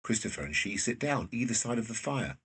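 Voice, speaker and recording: A man's voice: medium pitch, very animated, a little fast, fairly bright tone, slightly rough. A man reading scripted scene narration for a period drama. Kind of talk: scripted narration of stage directions.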